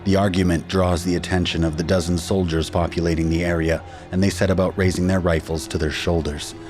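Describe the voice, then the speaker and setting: A man acts as an anguished father telling of his ordeal in scripted first-person audio drama narration. His voice is low and grave, with dramatic delivery over clear background music. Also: level tone, fairly quick, a little mellow, lightly acted. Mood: grave, tense.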